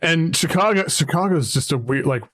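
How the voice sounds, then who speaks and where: Man, podcast host, deep voice, rambling casual chat, dry close mic. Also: lively intonation, moderate pace, a bit bright, no room sound. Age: adult, a parent. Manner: rambling, chatty, frequent fillers and restarts. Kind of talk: casual conversation about music.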